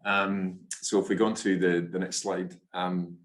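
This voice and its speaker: A man's voice: deep voice, little pitch movement, a little slow, echoey room. A man with a congested, stuffy nose, presenting to investors over a video call in a chatty, self-deprecating way, with many ums and restarts. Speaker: fund manager presenting a webinar.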